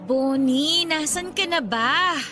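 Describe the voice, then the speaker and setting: A woman's voice: high voice, takes it slowly, music in the background. A woman playing a guarded, irritable young woman in a Tagalog radio romance drama, speaking with emotional emphasis. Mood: irritated, nagging.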